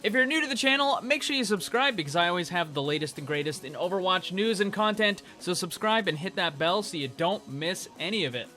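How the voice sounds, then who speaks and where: A man's voice: high voice, pushed and bright. A man hosting gaming news, speaking in an emphatic, informal way straight to his viewers. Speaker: gaming news channel host. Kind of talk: upbeat scripted gaming news narration.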